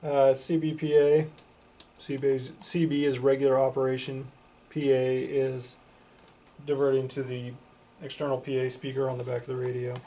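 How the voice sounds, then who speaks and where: A man's voice: medium pitch, completely flat delivery, normal speed, dark tone. A man giving a casual product demonstration as a reviewer, plain-spoken and matter-of-fact. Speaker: product reviewer for a CB radio shop.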